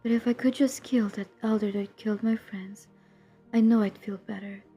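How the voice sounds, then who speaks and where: A woman playing a centuries-old, guilt-ridden but determined vampire hunter in an intimate audio roleplay, speaking in a hushed, confessional, breathy voice that often drops to a whisper. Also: medium pitch, steady tone, lo-fi sound, soft background music. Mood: weary, guilty resolve. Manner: hushed, confessional, halting, earnest. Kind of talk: intimate dramatic audio roleplay addressed to the listener.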